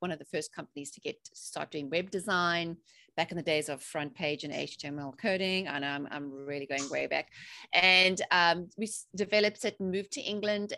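A woman's voice: on the low side, creaky, pushed and bright, no echo. A woman in her forties with a South African accent, answering interview questions in a chatty, upbeat way. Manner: chatty and upbeat, rising emphatic phrases. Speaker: podcast guest, mindset coach.

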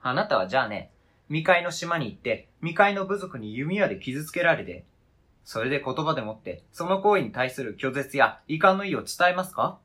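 Man playing a sharp, husky, indignant accuser. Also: fairly high pitch, big pitch swings, normal speed, full character voice. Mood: accusing. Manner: pedantic, interrogating, formal argumentative phrasing. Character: wounded, indignant adult, coldly logical and relentlessly accusing. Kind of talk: radio drama script reading.

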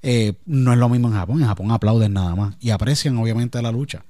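A man's voice: mid-range voice, quick pace, warm tone, dry recording, clean studio sound. A man with a Puerto Rican accent, talking at length in a casual interview and often checking that the listener follows him. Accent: Puerto Rican Spanish. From Puerto Rico. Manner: talkative, emphatic, repeats "me entiendes". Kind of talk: casual conversation.

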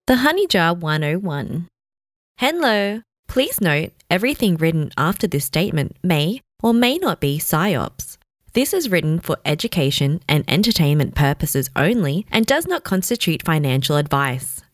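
A woman's voice: lowish voice, dry recording, clean studio sound. A woman reading a scripted introduction and asides as a narrator, in a playful manner. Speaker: narrator and announcer.

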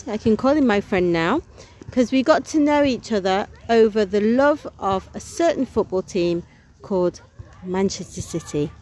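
A woman's voice: on the high side, a little mellow. A woman hosting a casual podcast, chatty and enthusiastic. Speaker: podcast host.

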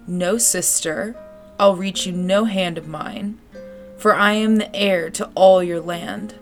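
A woman voicing a jealous, unforgiving elder princess from a storybook, cold, deliberate and scornful. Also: medium pitch, faint music behind. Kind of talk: storybook character voice.

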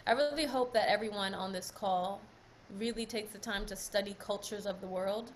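A woman's voice: mid-range voice, normal speed, a little hiss or hum. A woman speaking earnestly on a group call, repeating phrases for emphasis. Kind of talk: heartfelt closing remarks on a call.

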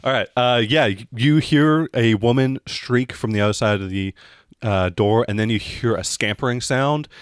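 A man acting as game master, narrating an improvised tabletop role-playing scene in a halting, descriptive way. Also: medium pitch, clean tone, dry recording, clean studio sound.

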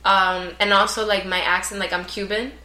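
A woman's voice: mid-range voice, little pitch movement, moderate pace, sharp tone, echoey room. A young woman who grew up speaking Spanish, chatting casually as a guest on a podcast, full of fillers and laughs. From United States. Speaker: guest artist.

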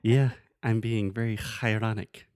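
A man's voice: on the low side, fairly even intonation, a little slow, darkish tone, clear, resonant tone, no echo. Man, podcast co-host, casual teaching, patient and playful, dry close sound. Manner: patient, explains with examples, playful. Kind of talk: casual bilingual English lesson chat.

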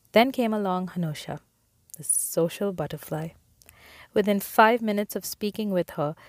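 A woman host narrating a scripted story in a measured, deliberate way. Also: mid-range voice, dry recording, clean studio sound. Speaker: host and interviewer.

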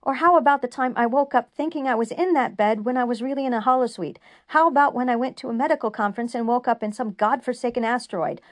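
A woman's voice: medium pitch, expressive, a little slow, dry recording, clean studio sound. A woman voicing an agitated, frightened young male doctor who raises his voice.

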